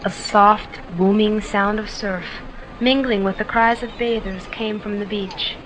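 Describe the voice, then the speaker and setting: A woman narrating a classic short story in a measured, descriptive reading. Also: mid-range voice, steady tone, background noise. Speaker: audiobook narrator. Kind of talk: scripted narration.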